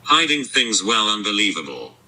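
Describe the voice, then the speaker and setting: A man's voice: fairly low pitch, big pitch swings, normal speed, bright, forceful tone, poor audio. A synthetic, robotic male voice confronting someone on a call with blunt, clipped, insistent sentences.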